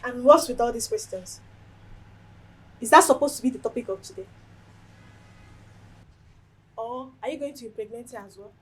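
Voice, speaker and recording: An actress playing a defiant, scheming wife in a film drama, sharp-tongued and insistent, with a Nigerian accent. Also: female voice, fairly high pitch, brisk, poor audio. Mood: scornful. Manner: scornful, insistent. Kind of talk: Nollywood film drama acting. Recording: clear background noise, location ambience.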